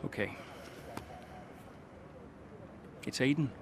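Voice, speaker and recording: Video game veteran driver, male actor: middle-aged, weary, earnest, halting delivery, clear ambient noise behind. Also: close to an everyday voice. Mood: evasive, troubled. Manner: hesitant, halting. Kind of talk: dramatic dialogue in a game cutscene.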